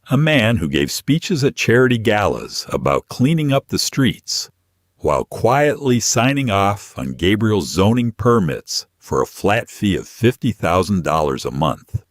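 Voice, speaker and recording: A man's voice: fairly low pitch, dry recording, studio quality. A man narrating a scripted story in a dramatic audiobook style.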